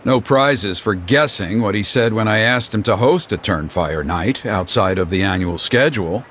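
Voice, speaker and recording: A man's voice: lowish voice, lively intonation, moderate pace, a bit bright, a little hiss or hum. A man playing an exasperated, gossipy male ceremony organizer who grumbles and sighs. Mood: griping.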